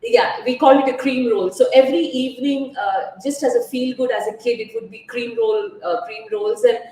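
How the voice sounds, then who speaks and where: A woman's voice: on the high side, fairly even intonation, normal speed, mellow, recorded from a distance. This is a woman speaking as a podcast guest, sharing her own story in a loose, conversational way. She hesitates a lot, with many "uh"s and restarts. Her voice sits back from the microphone in an echoey room. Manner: open and personal, with frequent "uh" fillers and restarts. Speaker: nutrition guest. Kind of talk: casual interview conversation.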